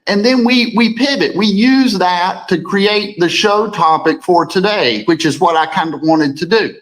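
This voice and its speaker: A man with a deep voice co-hosting a casual morning talk show, rambling and repeating words as he reads viewer comments. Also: fairly bright tone, poor audio. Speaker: co-host.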